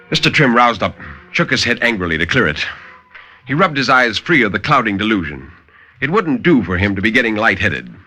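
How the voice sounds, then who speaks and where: A man with a lowish, forceful voice narrates a horror tale in a vintage radio recording. His delivery is measured yet highly animated, with dramatic swells and hushes.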